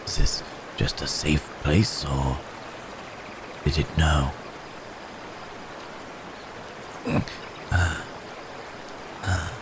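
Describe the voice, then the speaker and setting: A man playing a gentle, troubled wounded man cursed as a werebeast in an ASMR roleplay, speaking haltingly in formal, old-fashioned phrases with sighs. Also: rumbling low, background noise, heavily acted. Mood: puzzled.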